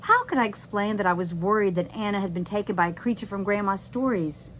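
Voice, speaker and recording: A woman narrates a children's chapter book with expression and drama. Her pitch sits in a middle range, and noticeable noise runs behind the voice. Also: brisk. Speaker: teacher reading aloud.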